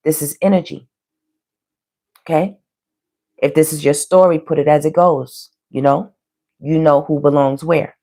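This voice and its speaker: A woman with a low, hoarse voice hosts a live tarot reading. She talks casually and colloquially to her viewers, often checking in as she interprets the cards. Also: steady tone, unhurried, long pauses, warm tone. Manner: colloquial, frequently checking in with "you know" and "okay". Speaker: tarot reader hosting a live online reading.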